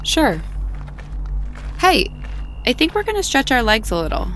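Calm sure-of-herself farm girl, steady voice. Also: high-pitched, a little slow, a little hiss or hum, heavily acted, poor audio. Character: calm, self-assured young farm woman. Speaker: farmer's daughter. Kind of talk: audio drama acting. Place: outdoors on a farm at night.

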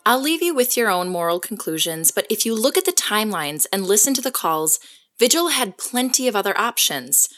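Animated woman investigative podcast host. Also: mid-range voice, a little fast, faint music behind. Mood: reflective. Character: earnest woman podcast host investigating a secret superhero's past. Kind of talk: scripted documentary podcast narration.

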